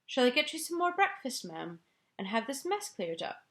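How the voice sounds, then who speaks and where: A woman's voice: sits high, very animated, moderate pace, a bit bright, pitched above the performer's own voice, exaggerated voice. A woman voicing a timid middle-aged maid who speaks respectfully and hesitantly. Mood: shaken. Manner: respectful, hesitant, repeats "ma'am".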